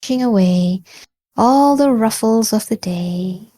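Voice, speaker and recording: A woman guides a meditation at a very unhurried pace. Her voice is soft-edged and mellow, recorded close and dry. She speaks gently and calmly, encouraging the listener with pauses between short phrases. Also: on the high side, no echo. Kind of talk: guided body scan meditation.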